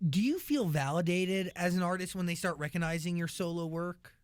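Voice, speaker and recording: A man's voice: sits high, brisk, smooth, no room sound, clean studio sound. A man interviewing a musician, laid-back and slangy in his questions.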